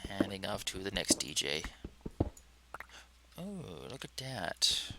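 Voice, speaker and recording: Low gravelly man rambling over gameplay. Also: takes it slowly, heavy background noise. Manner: relaxed, rambling, talks to himself. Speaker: let's play host. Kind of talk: casual gameplay commentary.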